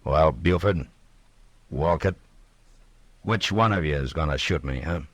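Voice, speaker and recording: An American actor playing a tough, steady frontier marshal in a radio western, speaking in a dry, commanding way. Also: male voice, a bass voice, measured pace, no room sound. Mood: stern, sardonic. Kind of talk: old-time radio western acting.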